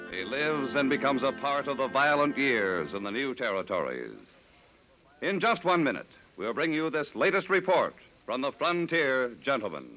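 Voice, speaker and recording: Bright, forceful male radio announcer. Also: on the high side. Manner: emphatic, polished. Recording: dull vintage radio, faint music.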